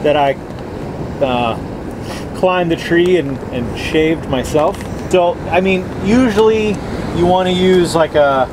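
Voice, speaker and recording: A man in his forties explaining cooking casually as a guest cook, in a halting, measured way. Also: on the high side, background noise. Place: outdoors on rocky seashore.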